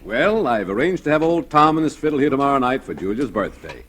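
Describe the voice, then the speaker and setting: A man's voice: mid-range voice, very animated, brisk, fairly bright tone. An actor playing a needling, falsely solicitous man of the house in a theatrical 1940s radio drama manner. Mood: falsely genial. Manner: insinuating, theatrical. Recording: noisy old radio recording.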